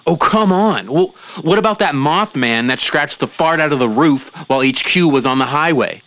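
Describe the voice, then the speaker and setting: A man's voice: fairly high pitch, lively intonation, moderate pace, fairly bright tone, dry recording, rough recording. A man playing a self-important young cryptid-hunting believer in a comedic audio drama, earnest and easily offended. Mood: petulant. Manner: petulant, earnest, melodramatic. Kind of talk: comedic audio drama acting as interview guest.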